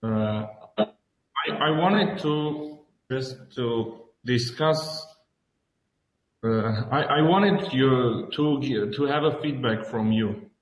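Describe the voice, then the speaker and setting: A man with an Armenian accent presents a project over a video call. He talks slowly and haltingly, searching for words, in an average-pitched voice. The room sounds very echoey. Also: dull audio. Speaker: student presenting project, schoolteacher.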